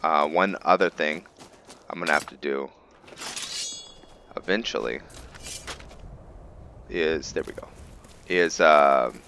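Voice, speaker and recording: Low-voiced man, halting casual game commentary. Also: pushed and bright, a little hiss or hum. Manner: halting, thinking aloud. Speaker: video game streamer, let's-play host. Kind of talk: casual roleplay gameplay commentary.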